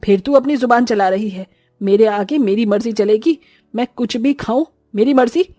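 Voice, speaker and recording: A woman's voice: a little high, normal speed, warm tone, no echo, soft background music, lightly acted. A woman voicing a haughty, scornful older rich mother-in-law who scolds and orders people about in a moral-story cartoon. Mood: bossy. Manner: scolding, imperious.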